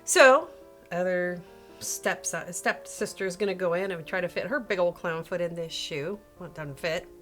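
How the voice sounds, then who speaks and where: A woman's voice: fairly low pitch, moderate pace, a bit bright, faint music behind. Woman telling stories with sarcastic asides. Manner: sarcastic, self-interrupting, with playful asides. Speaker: video host and storyteller. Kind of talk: casual, comedic storytelling to viewers.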